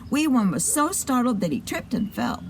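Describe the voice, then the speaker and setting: A woman's voice: on the high side, very animated, moderate pace, slight background noise. A woman hosting a children's show and telling a story, with chatty asides to her listeners.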